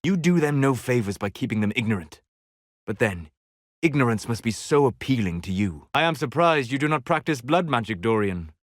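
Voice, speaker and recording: A male actor playing a learned, grave and dryly scornful elven mage in a video game, speaking in measured, formal, articulate lines. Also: mid-range voice, animated, no room sound, studio quality, natural voice. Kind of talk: dramatic game dialogue lines.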